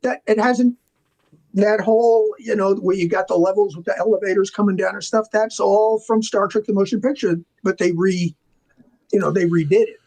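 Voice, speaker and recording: A man's voice: high-pitched, fairly even intonation, normal speed, a little mellow, low-quality recording. An older man chatting casually as an interview guest, telling rambling, enthusiastic stories about his working life. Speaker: interview guest, film makeup artist and art department veteran.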